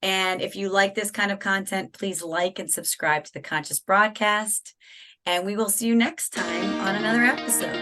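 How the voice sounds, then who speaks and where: A woman hosts a relaxed interview over a video call. Her voice is bright and forward, though a slight rasp lingers from recently losing it. She talks casually and affirms her guest often. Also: medium pitch, moderate pace, soft background music. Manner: warm, affirming, frequent agreeing interjections. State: slightly raspy from a lost voice. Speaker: podcast host.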